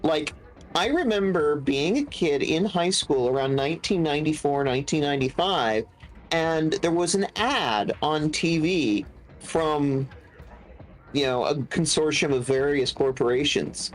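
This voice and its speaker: A man in his forties chatting casually and telling anecdotes with animated quoted voices. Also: a little high, unhurried, rough recording. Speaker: podcast guest. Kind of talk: casual chat.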